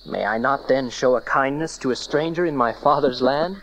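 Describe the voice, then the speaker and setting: An actor playing a humble, steadfast young husband in an audio Bible drama, polite and gently stubborn. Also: male voice, mid-range voice, moderate pace, slight background noise, lightly acted. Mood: polite, earnest. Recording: muffled cassette tape, busy crowd behind.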